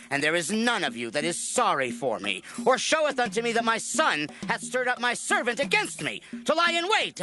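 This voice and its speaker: A paranoid, raging king in a biblical audio drama. His high voice is sharp and forceful, sweeping widely as he declaims. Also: exaggerated voice. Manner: declamatory, theatrical, archaic speech. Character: wrathful, paranoid king accusing his servants of treason. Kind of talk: dramatized scripture acting.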